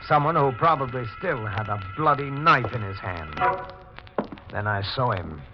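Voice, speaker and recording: An actor playing a stern, methodical homicide lieutenant in a 1940s radio drama, speaking in a deliberate, precise, dramatic way. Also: male voice, mid-range voice, animated, natural voice. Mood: tense, grim. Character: stern, methodical male police homicide lieutenant giving testimony. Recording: muffled 1940s radio recording with music.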